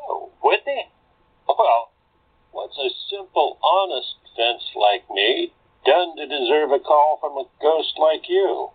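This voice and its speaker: A man's voice: a little high, animated, slow, breathy edge, full character voice. A man playing a teasing, dismissive streetwise fence and con man in an audio drama, heard through a telephone filter. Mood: teasing, mock hurt. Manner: streetwise, slangy, mocking. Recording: tinny narrow phone line.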